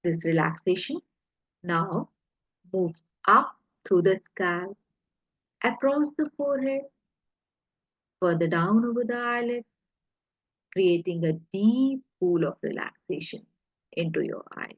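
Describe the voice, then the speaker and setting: A husky, breathy woman speaks very slowly as she guides a hypnosis session. Her delivery is soothing and deliberate, broken into short phrases with pauses. The sound is echoey and slightly muffled. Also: medium pitch, animated. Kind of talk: guided hypnosis relaxation narration.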